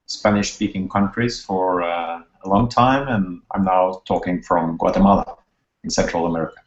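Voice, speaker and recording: A man from Norway with a Norwegian accent, a student casually introducing himself in a halting, self-correcting way over a video call. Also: deep voice, steady tone. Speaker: online course student, social scientist. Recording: echoey, muffled lo-fi video call.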